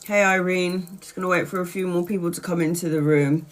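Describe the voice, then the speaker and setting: A woman's voice: fairly low pitch, fairly even intonation, fairly quick, faint music behind. A congested woman tarot reader chatting casually on her livestream, tired and rambling.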